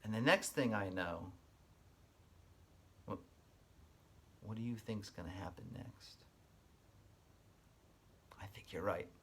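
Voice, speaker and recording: A man working as a kindergarten teacher, giving an expressive read-aloud and explaining things simply to young listeners. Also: on the low side, takes it slowly, long pauses, a little hiss or hum.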